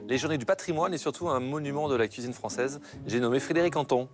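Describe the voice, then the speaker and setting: A man's voice: mid-range voice, fast. A man hosting a TV cooking show with playful, teasing banter. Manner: jokey, teasing. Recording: music behind the voice. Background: background music.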